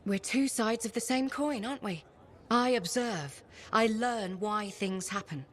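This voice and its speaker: A woman's voice: on the high side, unhurried, close to an everyday voice. An actress playing a witty, curious woman scholar.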